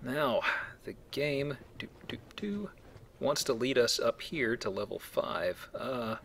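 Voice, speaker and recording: A man with a mid-pitched voice gives casual commentary on a video game. He is wry and jokey, and faint game ambience runs behind him. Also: animated, slow, creaky, fairly bright tone, slight background noise. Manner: wry, acts out mock quotes. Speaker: video game let's play host.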